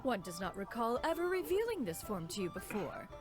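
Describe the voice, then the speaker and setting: A woman's voice: fairly high pitch, big pitch swings, a little fast, over music. A woman voicing a proud, aloof immortal in an anime game, speaking in a formal, archaic, haughty way. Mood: lofty. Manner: haughty, formal, archaic, calls herself "one".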